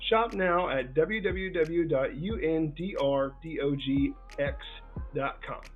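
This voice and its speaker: Over a thin, phone-quality connection, a man with a fairly high voice hosts a sports interview. He speaks casually and conversationally, feeling his way through questions in an informal, halting manner. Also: faint music behind. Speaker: interviewer and football scout. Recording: thin, narrowband phone-like call sound.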